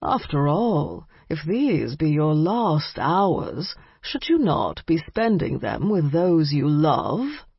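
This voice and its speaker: A woman voicing a haughty, sneering male vampire aristocrat with a British accent, speaking in a formal, disdainful way with a husky voice. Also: low voice, big pitch swings, slow, few pauses, no room sound, dull audio, heavily acted.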